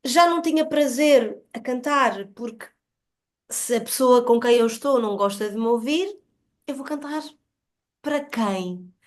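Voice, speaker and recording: Higher-pitched Portuguese woman, earnest and reflective. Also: unhurried. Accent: European Portuguese. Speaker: podcast guest. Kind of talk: reflective personal conversation.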